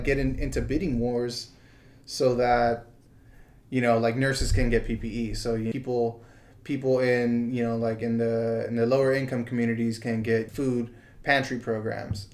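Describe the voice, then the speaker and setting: Halting American man talking current affairs. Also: medium pitch, a little mellow. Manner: halting, hedging, filler-heavy delivery. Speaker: podcast co-host. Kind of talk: casual news commentary.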